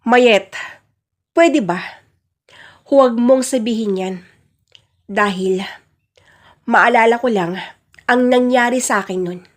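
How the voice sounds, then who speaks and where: Stern patriarch played by a woman, high voice, commanding and insistent, for a radio-style family drama. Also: takes it slowly, long pauses. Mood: reflective, regretful. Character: domineering, angry father, stern head of the family.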